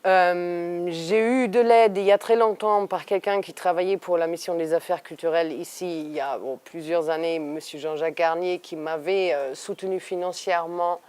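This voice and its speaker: A woman's voice: lowish voice, fairly even intonation, moderate pace, few pauses, darkish tone, clean tone. A woman from Germany, an artist being interviewed, talking thoughtfully and animatedly with frequent restarts. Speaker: artist guest. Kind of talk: interview conversation.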